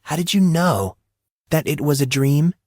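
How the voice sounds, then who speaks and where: A man's voice: fairly high pitch, expressive, a little slow, darkish tone, dry recording, clean studio sound, pitched above the performer's own voice. A man voicing a flustered, defensive fourteen-year-old boy in an audio story, incredulous and exasperated in manner. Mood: startled.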